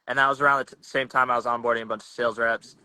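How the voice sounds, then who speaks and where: A man's voice: mid-range voice, little pitch movement, racing, bright, forceful tone. A young man hosting a podcast in a casual, slangy, rambling chat over a video call, often restarting his sentences. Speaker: podcast host.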